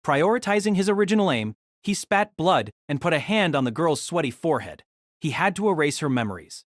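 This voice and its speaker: A man's voice: on the high side, very animated, brisk, dry recording, studio quality. A man giving a dramatic scripted narration of an action fantasy story.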